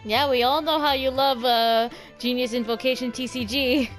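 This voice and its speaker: A woman's voice: sits high, steady tone, moderate pace, over music. A woman giving casual reaction commentary as she streams a game.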